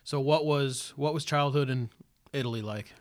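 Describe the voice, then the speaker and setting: A man's voice: mid-range voice, moderate pace, no echo, studio quality. A man with an American accent hosting a podcast, introducing and interviewing in a conversational style. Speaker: podcast host.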